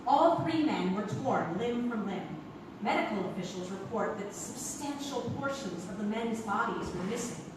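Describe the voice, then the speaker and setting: A woman's voice: mid-range voice, animated, moderate pace, lightly acted. A woman playing a formal nineteenth-century reporter, reading a news report theatrically. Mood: grave, formal. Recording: echoey noisy theatre, far from mic.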